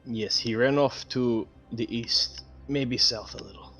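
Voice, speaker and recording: A man's voice: mid-range voice, fairly even intonation, a little slow, creaky, a bit bright. A man talking casually as a player in a tabletop roleplaying game. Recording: background music under the voice.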